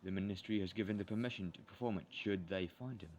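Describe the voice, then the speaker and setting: A man playing a gentle, thoughtful schoolteacher in an audio drama, with a measured, kindly way of talking. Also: deep voice, muffled recording, close to an everyday voice. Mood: grave. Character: gentle, thoughtful male schoolteacher mentoring a pupil. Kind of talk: fan audio drama acting.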